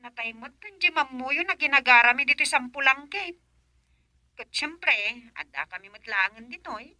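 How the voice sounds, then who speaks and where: Bright, high-voiced Filipino woman played by an actress. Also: animated, moderate pace, no echo, full character voice. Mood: chatty. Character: chatty young woman named Rosa on a call. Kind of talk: Ilocano radio comedy drama acting.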